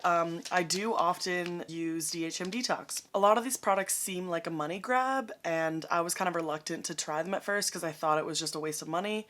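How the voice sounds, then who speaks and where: A young woman with a raspy voice, chatting through a how-to vlog in an upbeat, self-mocking way. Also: lowish voice, a bit bright. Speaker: vlogger.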